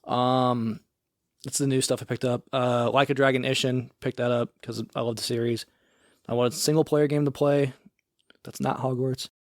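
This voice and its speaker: A man co-hosting a casual podcast, talking in a relaxed, rambling way with some swearing. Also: mid-range voice, normal speed, no room sound, clean studio sound. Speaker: podcast co-host. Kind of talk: casual chat.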